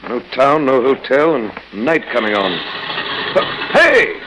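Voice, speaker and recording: An actor playing an easily startled, chipper English adventurer with a British accent in an old radio serial. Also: male voice, mid-range voice, very animated, a little slow, noisy background. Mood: indignant. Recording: old radio drama recording with music.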